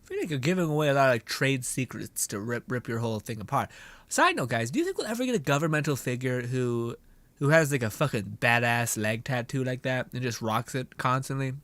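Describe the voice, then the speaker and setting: A man's voice: medium pitch, very animated, fairly quick, a bit bright, no room sound. A man livestreaming a game in casual chat, exasperated and swearing freely as he rants. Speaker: game streamer.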